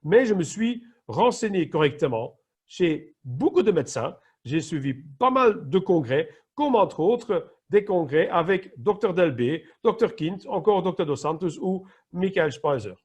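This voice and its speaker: A man's voice: sits high, very animated, measured pace, darkish tone, husky. A middle-aged Flemish man, an emergency nurse, explaining health topics in a training session, sometimes searching for his words. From Flanders, Belgium. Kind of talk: online training presentation.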